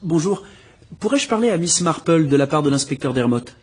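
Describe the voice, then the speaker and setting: An actor playing a courteous young police inspector in a dubbed drama, speaking warmly and respectfully. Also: male voice, fairly high pitch, expressive, a little fast, husky.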